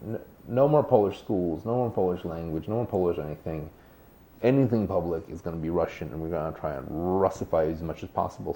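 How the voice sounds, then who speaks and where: A man's voice: sits low, dark tone. A man, a guest author, explaining history in a thoughtful conversational way with frequent restarts. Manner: thoughtful, many restarts and hedges.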